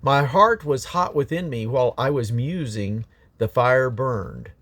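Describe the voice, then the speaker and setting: A man's voice: medium pitch, measured pace, fairly bright tone, dry recording. A man reading scripture aloud in a solemn, emphatic, declamatory way, stressing his phrases. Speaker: Bible reader.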